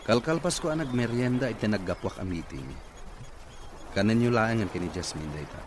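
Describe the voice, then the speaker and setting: Lowish, animated company boss acted by a man. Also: fairly quick, close to an everyday voice. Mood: brisk, businesslike. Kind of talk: Ilocano radio comedy-drama acting. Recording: noisy radio drama recording.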